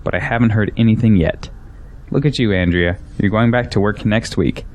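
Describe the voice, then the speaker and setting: A man's voice: on the low side, moderate pace, a little mellow, slight background noise, natural voice. A man playing a defensive, ambitious young businessman in an audio drama, arguing skeptically and proudly. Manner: defensive, argumentative.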